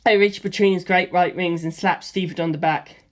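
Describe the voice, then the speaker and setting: A man reading a first-person action story aloud in a lively way, voicing gunfire sound effects himself. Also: high voice, fairly quick. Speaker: audiobook reader.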